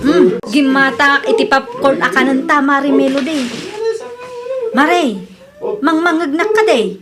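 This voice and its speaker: A candid, chatty Filipino woman friend from a radio comedy drama, played by an actress. Her voice is distinctly high. She talks in a teasing, conversational way. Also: measured pace, loud music behind the voice. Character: chatty, candid adult female family friend.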